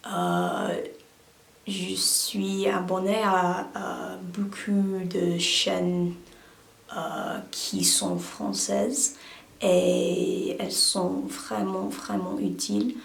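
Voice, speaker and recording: A young woman chatting to her camera with a hesitant, filler-filled delivery. Her voice sits a little low and carries a gravelly fry. The room around her sounds echoey. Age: young adult. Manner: hesitant, many fillers and hedges. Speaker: language-learning vlogger. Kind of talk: casual talk to camera.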